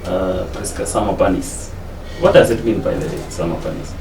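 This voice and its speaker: Low-voiced Kenyan host, echoey and distant. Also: male voice, normal speed. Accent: Kenyan English. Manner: hesitant, many fillers and back-channel murmurs. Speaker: show host. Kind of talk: casual interview hosting. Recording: echoey, distant mic with clear background noise.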